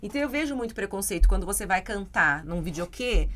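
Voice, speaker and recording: A woman's voice: mid-range voice, animated, fast, a bit bright. Co-host: middle-aged brazilian woman, earnest, reflective, faint background hiss. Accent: Brazilian Portuguese. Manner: earnest, reflective, addresses listeners directly. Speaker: English teacher, podcast co-host. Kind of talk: casual chat.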